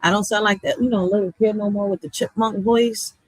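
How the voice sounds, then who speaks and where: A woman's voice: medium pitch, brisk, no room sound, rough recording. A woman with a small, girlish voice, chatting casually in blunt slang and often raising her voice as a streamer. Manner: animated, blunt, slangy, raises voice. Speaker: streamer host. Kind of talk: casual reaction chat.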